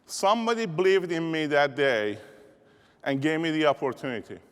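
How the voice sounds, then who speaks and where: Man, Persian accent, energetic keynote speaker, call-and-response, slightly high voice, long hall reverb. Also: normal speed, clear, resonant tone. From Iran. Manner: rallying, call-and-response with audience. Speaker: motivational speaker. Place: large conference hall.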